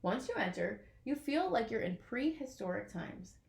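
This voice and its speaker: A woman reading a children's picture book aloud in an expressive way, as if to young listeners. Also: on the high side, moderate pace, creaky, echoey room. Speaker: storybook reader.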